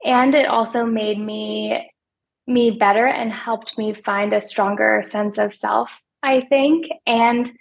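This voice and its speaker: A soft-spoken woman in her thirties, a financial advisor answering interview questions in a hesitant way, often repeating words as she thinks. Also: fairly high pitch, steady tone, slow pace, creaky, muffled recording. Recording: podcast remote interview.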